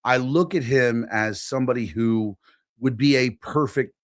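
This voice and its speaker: A man's voice: mid-range voice, unhurried, no room sound, studio quality. A man from Philadelphia, a guest on a talk show, giving his opinions frankly and with emphasis. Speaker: podcast guest. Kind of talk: casual political chat.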